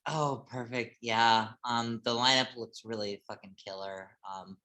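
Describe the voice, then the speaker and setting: Bright-voiced man hosting a video call. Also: medium pitch, steady tone, measured pace. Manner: hesitant, full of ums and restarts. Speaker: event host. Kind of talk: casual chat. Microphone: home computer mic on a video call.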